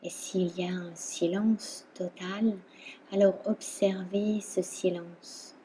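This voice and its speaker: A woman instructor guiding a relaxation meditation in a calm, soothing manner, leaving long pauses between phrases. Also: on the low side, a little hiss or hum, rough recording. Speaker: yoga nidra instructor.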